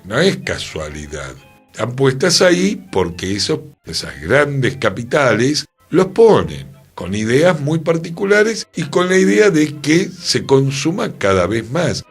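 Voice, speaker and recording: Slow, husky Argentine man in radio discussion. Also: mid-range voice, sing-song, soft background music. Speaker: radio show participant. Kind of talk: radio discussion, reflective opinion. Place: radio studio.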